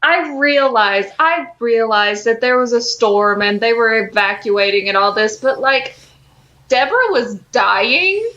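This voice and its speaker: A woman co-hosting a podcast, chatting casually about a TV show with halting, hesitant phrasing. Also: fairly high pitch, animated, bright, forceful tone, dull audio, a little hiss or hum. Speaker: podcast co-host.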